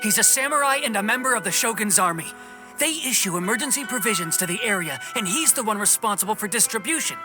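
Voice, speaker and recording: A woman's voice: mid-range voice, expressive, fast, lightly acted. An actress playing an indignant, demanding village woman in a video game, stern and emphatic. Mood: aggrieved. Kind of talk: video game cutscene acting. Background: game music.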